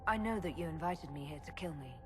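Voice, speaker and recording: An actress playing a resolute, blunt heroine in a video game, speaking firmly and directly. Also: female voice, sits low, quick pace, close to an everyday voice. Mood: firm, defiant. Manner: firm, direct. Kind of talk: video game cutscene acting. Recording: reverberant hall with loud music behind.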